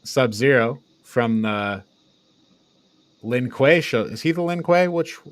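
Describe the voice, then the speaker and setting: A man with an average-pitched voice chats casually as a review co-host. He recaps film scenes loosely, pausing and piecing his sentences together as he talks. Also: expressive. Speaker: co-host of a movie review show.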